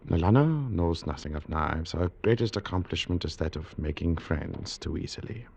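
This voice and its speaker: An actor playing a cold, proud expatriate husband, husky and gravelly, with clipped, formal speech in 1940s radio style. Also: male voice, low voice, lively intonation, normal speed, darkish tone, full character voice. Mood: cold, possessive. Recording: dull vintage radio recording.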